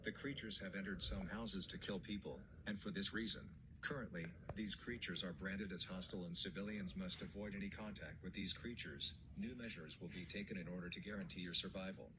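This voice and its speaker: Man acting as emergency broadcast announcer, low pitch, grave, formal, impersonal, scripted alert, clearly noisy recording. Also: fairly even intonation, brisk, few pauses, lightly acted. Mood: stern, official. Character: grave male emergency broadcast announcer warning of deadly danger.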